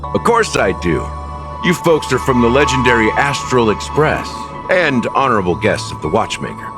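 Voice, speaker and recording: A man's voice: mid-range voice, sing-song, normal speed, close to an everyday voice. A man playing a laid-back, middle-aged investigator in an anime game, casual and wry as he welcomes people. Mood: measured. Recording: loud game music behind. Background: loud game music.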